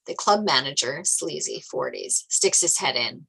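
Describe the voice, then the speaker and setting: A woman's voice: low voice, very animated, a little slow, gravelly, pushed and bright, breathy edge, low-quality recording. A woman reading screenplay stage directions as scripted narration.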